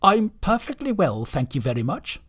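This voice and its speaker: Storybook reindeer played by a man: proud, pedantic, argumentative, raised pitch, husky edge. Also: big pitch swings, normal speed, fairly bright tone, heavily acted. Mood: indignant. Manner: indignant, pedantic.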